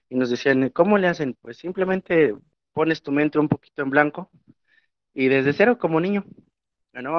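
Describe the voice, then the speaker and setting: A man's voice: medium pitch, no room sound. A young Mexican man, a historian and Nahuatl teacher, speaking reflectively in a panel talk with many filler words. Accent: Mexican Spanish. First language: Spanish. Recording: dry, muffled lo-fi sound.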